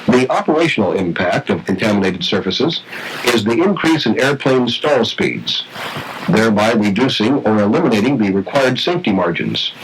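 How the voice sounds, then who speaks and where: A man reading scripted instructional narration for a training film in a formal, measured, precise way. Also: medium pitch. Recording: noisy vintage training film soundtrack.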